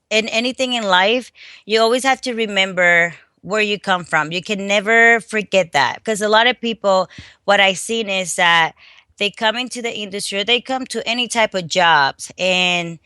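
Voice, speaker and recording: A middle-aged woman from the Dominican Republic, a fitness coach speaking haltingly with many restarts in a phone interview. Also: medium pitch, bright, forceful tone, clear, resonant tone, no room sound, studio quality. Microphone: phone call.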